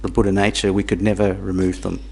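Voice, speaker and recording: A man born in Tanzania, teaching Buddhism to a small group in a halting, repetitive way, with questions for the audience. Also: low voice, flat delivery, vocal fry, darkish tone, husky. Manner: halting, repeats words, asks the audience questions. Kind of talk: dharma talk to a small group.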